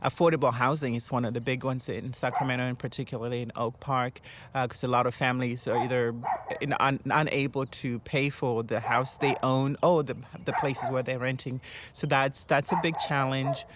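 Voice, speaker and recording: A man's voice: medium pitch, fairly even intonation, normal speed, few pauses. A man from Zimbabwe, an officer with a charity, answering interview questions in an earnest, reflective way. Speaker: Salvation Army officer. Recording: noisy background.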